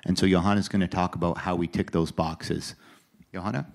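A man in his thirties giving a confident company presentation on a large conference stage into a handheld mic, with fillers and quick "right?" checks. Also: steady tone, creaky. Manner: confident pitch, fillers and restarts, asks "right?".

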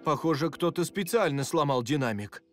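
A man voicing a vain, excitable toy giraffe with a theatrical delivery in a children's cartoon. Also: fairly high pitch, moderate pace, natural voice. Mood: investigating. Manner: theatrical, exclamatory. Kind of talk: animated children's cartoon dubbing. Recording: music behind the voice.

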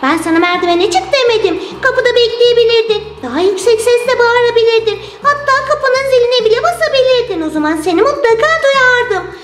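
An excitable little girl doll, fretting and scolding in exclamations. The voice is extremely high and childlike, in a roomy recording with music behind. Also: brisk, few pauses. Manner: exclamatory, fretful.

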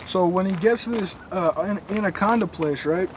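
A young man telling a creepy story in casual slang, recorded in a dark room on a camera a few feet away. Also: sits high, moderate pace. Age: young adult. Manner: slangy, laid-back, joking. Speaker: co-host. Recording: noisy camera audio.

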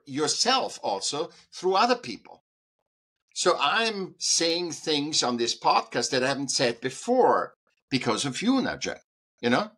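A man's voice: a little high, sharp tone, breathy edge. A man, a guest artist and educator, talking in an interview in an emphatic way, restarting sentences and repeating points for stress. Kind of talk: conversational interview.

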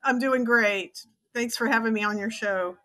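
A woman's voice: fairly high pitch, normal speed, fairly bright tone. A woman in her sixties from Texas with an American accent, talking conversationally as an interview guest. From Texas, United States. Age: 60s. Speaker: podcast guest, author and teacher.

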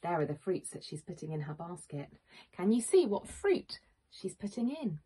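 A woman, a teacher reading a picture book to young children in an expressive, questioning manner. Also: fairly low pitch, normal speed, low-quality recording. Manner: expressive, questioning, clearly addressing small children. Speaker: primary school teacher. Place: small home room with bookshelves, indoors.

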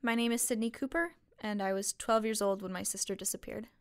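An actress playing a calm, matter-of-fact woman of twenty-two in a fiction podcast. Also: medium pitch, fairly quick, natural voice. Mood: blunt, composed. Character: blunt, composed young woman of twenty-two, sister of missing girl. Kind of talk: conversational audio drama acting.

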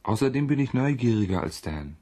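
An actor playing a cocky, mischievous, persuasive young student with a husky voice in a radio play. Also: male voice, medium pitch, sing-song, a little fast, mellow, lightly acted. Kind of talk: radio drama acting.

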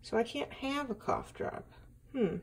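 A woman's voice: on the high side, expressive, a little slow, slight background noise. Chatty woman with a sore throat. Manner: chatty, rambling, self-deprecating. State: sore throat, losing her voice. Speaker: diet vlogger. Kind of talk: casual video diary. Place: home kitchen, indoors. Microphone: camera at arm's length.

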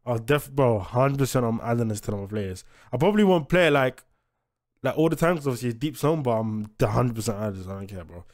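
A man's voice: medium pitch, quick pace. A young British man giving casual, slangy reaction commentary as a host, emphatic and often repeating himself. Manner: repetitive, emphatic, informal.

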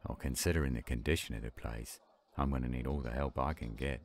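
A man acting an earnest young werewolf pack leader in a roleplay audio drama, speaking in a measured way. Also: extremely low pitch, fairly even intonation. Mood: friendly, grateful.

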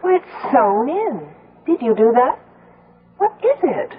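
An actress playing a sensible, skeptical grown daughter in a 1970s radio drama, earnest and questioning. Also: female voice, high voice, big pitch swings, slow, husky, narrow, tinny audio, exaggerated voice. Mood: probing. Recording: band-limited old radio broadcast.

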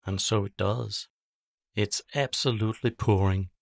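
Gravelly male bedtime-story narrator. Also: fairly low pitch, steady tone, measured pace, no room sound, clean studio sound.

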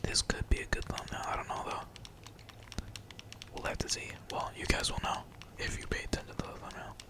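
Whispering man doing ASMR gaming commentary. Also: brisk. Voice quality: breathy whisper. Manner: slangy, sweary, playful muttering. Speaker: gaming streamer, channel host.

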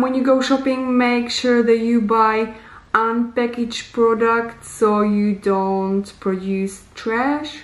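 A woman in her thirties hosting a casual how-to vlog, with a fairly high voice and an unhurried pace. She gives simple, deliberate advice in slightly non-native English. The sound is roomy, from a small bare room indoors. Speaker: vlogger, host. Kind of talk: casual instructional vlog to camera.

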